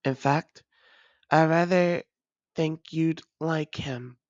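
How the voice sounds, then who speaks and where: A weary vampire played by a voice actor speaks very slowly and formally to a long-lost lover. The delivery is halting and guarded, like an intimate confession in an audio drama. The sound is dry and close. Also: medium pitch, a little mellow, no echo, dull audio, natural voice. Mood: tender. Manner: measured, halting, formal old-fashioned phrasing. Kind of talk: intimate scripted roleplay audio drama monologue.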